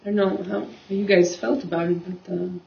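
A woman's voice: lowish voice, level tone, moderate pace, creaky. A woman in a casual group discussion, sharing opinions and pressing questions. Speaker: discussion participant. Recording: dull lo-fi recording in an echoey room.

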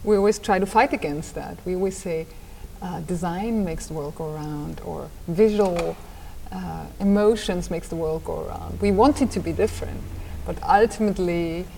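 A woman's voice: lowish voice, moderate pace, vocal fry, dark tone. Woman, Austrian accent, fifties, hesitant restarts, reverberant room, faint background hum. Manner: hesitant, with frequent repeated words and restarts. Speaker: designer and design teacher. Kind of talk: conversational interview answers.

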